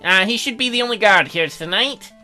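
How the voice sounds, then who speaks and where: Gaming co-host, piercingly bright, playing out visual novel dialogue with mock-indignant banter over music. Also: medium pitch, expressive, brisk. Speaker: let's play co-host voicing a character. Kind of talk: acting out game dialogue with banter. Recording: background music under voice.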